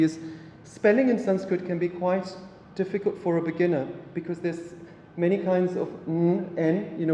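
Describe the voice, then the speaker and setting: A man from South Africa, an Afrikaans speaker, giving a relaxed public lecture with halting phrasing and many fillers. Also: on the high side, slight background noise. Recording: echoey hall, mic from afar.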